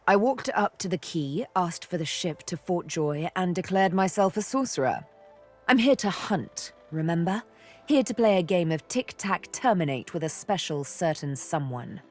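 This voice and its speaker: A woman's voice: fairly low pitch, lively intonation, normal speed, darkish tone, soft background music. A woman voicing a sly elf rogue in a video game, playful and flirtatious with a theatrical, threatening edge. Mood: sly, wry. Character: sly elf woman rogue, former slave, teasing and menacing. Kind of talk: video game character acting.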